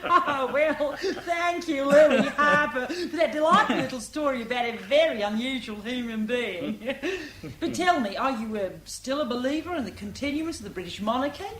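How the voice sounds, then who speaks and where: An actress playing a gushing, giggly television chat show host in a vintage radio drama. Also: female voice, high-pitched, animated, normal speed, reverberant, crowd noise. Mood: gushing, amused. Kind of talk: radio drama acting as broadcast interviewer.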